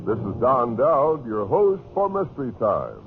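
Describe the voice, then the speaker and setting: A man's voice: medium pitch, wildly swooping pitch, measured pace. A man hosting a mystery show in a 1950s radio announcing style. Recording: muffled old radio broadcast. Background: prominent music.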